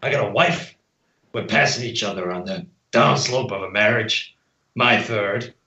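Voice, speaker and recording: A man acts out a world-weary film cop with bitter intensity. The voice drops low and hits lines with tired emphasis, recorded a little dull and roomy. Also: measured pace, bright, forceful tone. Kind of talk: film scene read as impression. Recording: slightly dull, roomy sound.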